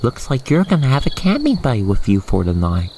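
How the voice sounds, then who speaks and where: Creaky-voiced ASMR roleplay narrator, crickets behind. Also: mid-range voice, huge pitch swings, brisk, mellow. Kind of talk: ASMR roleplay narration, scripted, addressed to listener. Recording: faint noise with chirping crickets. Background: night insect ambience.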